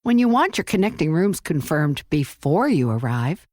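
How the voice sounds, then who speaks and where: Woman doing a commercial voiceover, deep for a woman, playful and dramatic, super animated. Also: normal speed, dry recording, clean studio sound. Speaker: advert narrator. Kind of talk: scripted commercial narration.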